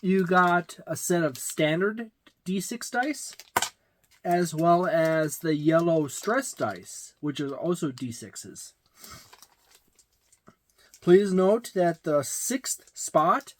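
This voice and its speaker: A middle-aged man giving a casual, halting, matter-of-fact unboxing and review, recorded in a small room. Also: high-pitched. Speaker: tabletop game reviewer. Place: small indoor room with packed shelves.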